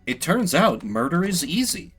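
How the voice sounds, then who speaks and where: A man's voice: a little high, very animated, normal speed, fairly bright tone, husky, soft background music. A man streaming a video game with casual, wry, joking commentary, thinking aloud as he plays.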